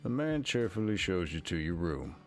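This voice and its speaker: A man's voice: deep voice, very animated, moderate pace, faint music behind. A man narrating a scripted second-person story for a visual novel game.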